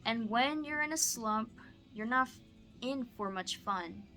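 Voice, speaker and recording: A woman teaching through a picture-book read-aloud, moving between expressive storybook reading and casual explanatory asides. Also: on the high side, a little slow, soft background music.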